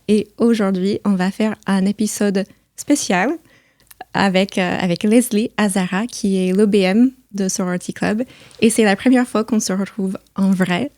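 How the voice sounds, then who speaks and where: A middle-aged woman from the United States, speaking with an American accent in a casual podcast conversation, often pausing and repeating words as she goes. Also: medium pitch, a little mellow, no room sound, clean studio sound. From United States (Missouri). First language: English. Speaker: podcast host.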